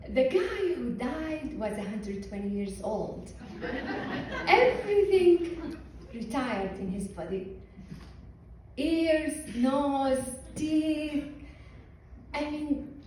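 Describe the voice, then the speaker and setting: Woman in a booming hall. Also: dark tone, slight background noise. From Syria. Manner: wry, deliberate, with pauses for effect. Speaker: physician giving a talk. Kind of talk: humorous storytelling speech. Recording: echoey hall from far off.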